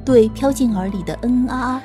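A woman's voice: a little high, brisk. A woman narrating a novel as an audiobook reader, in a scripted storytelling style. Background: faint music.

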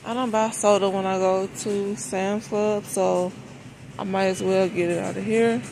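Female shopping vlogger, mid-pitched and creaky, folksy off-the-cuff chat, noisy supermarket phone recording. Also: steady tone, a little slow. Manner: folksy, informal, thinking aloud. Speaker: vlogger narrating a shopping trip. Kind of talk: casual chat to camera. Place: inside a large supermarket, walking. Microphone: handheld phone behind the camera. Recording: noisy store background.

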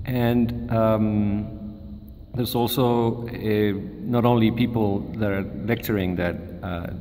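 A man's voice: lowish voice, steady tone, slow, warm tone. A man interviewing a colleague, asking questions hesitantly with many fillers, off camera in a large room. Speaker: interviewer, mathematician. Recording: roomy hall reverb, faint hiss.

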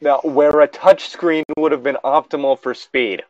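A man's voice: a little high, fairly even intonation, normal speed, a little mellow, no echo, muffled recording. A man co-hosting a casual, joking commentary, exasperated and swearing freely, sometimes reading aloud. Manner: exasperated, profane. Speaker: co-host reading and mocking internet horror fiction.

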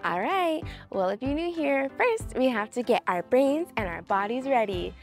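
A woman's voice: fairly high pitch, lively intonation, moderate pace, faint music behind. A young woman presenting a children's read-along, encouraging and exclamatory, talking directly to her young audience. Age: young adult. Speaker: children's story time host.

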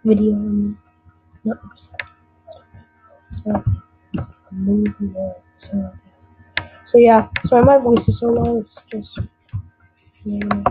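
A woman's voice: medium pitch, flat delivery, takes it slowly, long pauses, narrow, tinny audio. A young woman casually commenting on a browser game as she plays, hesitant and full of fillers. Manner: rambling, hesitant, many fillers. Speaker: gameplay commentator. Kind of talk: casual let's play commentary. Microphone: computer mic, screen recording. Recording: game music behind.

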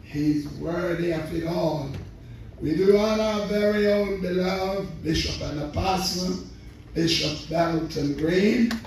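High-voiced slow preacher in a roomy church. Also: male voice, a little mellow. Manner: fervent preaching with repeated phrases and call-and-response. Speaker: assistant pastor preaching. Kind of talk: sermon. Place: large church sanctuary. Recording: roomy distant church recording with faint noise.

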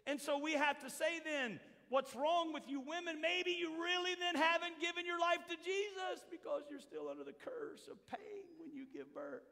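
An older man preaching a sermon as pastor to his church, shifting from quiet asides to shouted points. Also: extremely high pitch, animated, moderate pace, gravelly, hardly any pauses. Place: large church hall with a seated congregation. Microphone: handheld mic, roaming the stage. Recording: long hall reverb.

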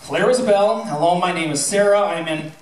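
A middle-aged man giving an informal speech on stage as a theatre director, telling anecdotes in an animated, projecting way. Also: high voice, fairly quick, slight background noise. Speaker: high school theatre director. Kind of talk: informal speech to an audience, with anecdotes and thank-yous. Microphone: handheld microphone through PA, filmed from the audience. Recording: roomy, distant audience-camera recording.

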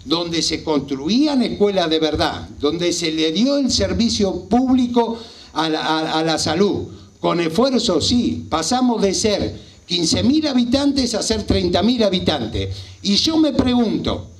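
A man's voice: high voice, very animated, unhurried. A man in his fifties with a Rioplatense Argentine accent delivers an impassioned political speech, emphatic and repeating phrases for effect. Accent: Rioplatense Argentine Spanish. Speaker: local party politician. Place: small outdoor rally stage at night. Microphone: handheld microphone.

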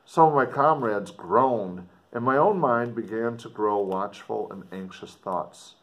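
A middle-aged man with a fairly low voice reads classic prose aloud. His phrasing is theatrical and deliberate, and he moves easily between formal reading and casual explanation. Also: rough recording. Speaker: book reader and commentator. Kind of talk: dramatic audiobook-style reading with casual explanatory asides. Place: indoors, small room with plain dark backdrop.

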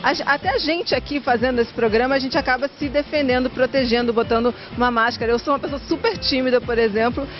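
A woman's voice: high voice, quick pace, hardly any pauses. A woman in her thirties from Argentina with a Carioca Portuguese accent, chatting casually as a guest and trailing off into repeats. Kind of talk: casual chat. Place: outdoor studio set. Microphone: handheld mic. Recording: lo-fi TV audio with music behind.

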